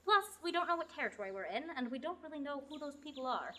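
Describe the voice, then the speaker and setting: A woman playing a brisk, dry, self-assured aspiring knight in a radio play. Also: high-pitched, animated, bright, forceful tone.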